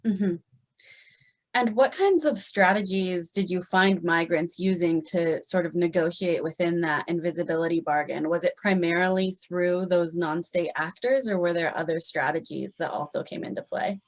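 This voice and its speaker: Low-voiced woman interviewer. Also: moderate pace. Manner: attentive, follow-up questioning. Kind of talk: interview questions.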